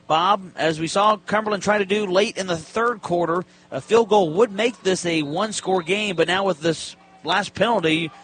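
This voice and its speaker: Bright, high-voiced male football play-by-play announcer. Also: moderate pace, hardly any pauses. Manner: clipped present-tense calls in short bursts. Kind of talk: college football radio commentary.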